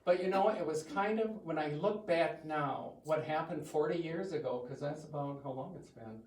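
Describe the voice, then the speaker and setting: A man's voice: a little high, normal speed, darkish tone. An older man from the US Midwest with a big voice, giving a chatty, self-joking talk to a church congregation. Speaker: guest speaker. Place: church hall. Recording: echoey hall from far off.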